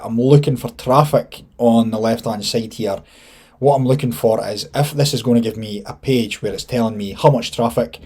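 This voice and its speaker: A Scottish man in his thirties giving a casual screen-share tutorial, thinking aloud informally with a slightly husky voice. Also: on the low side, a little mellow. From Scotland. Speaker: online marketing instructor.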